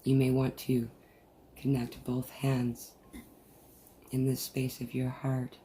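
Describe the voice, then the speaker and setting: A person leading a guided meditation in a soothing, deliberate manner with long pauses, recorded over a video call. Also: mid-range voice, monotone, warm tone. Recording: noisy, muffled group video-call audio.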